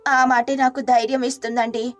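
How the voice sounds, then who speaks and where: A woman with a high voice narrates a storybook tale and plays the caring wife. She speaks softly and earnestly, with light music underneath. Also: flat delivery, normal speed.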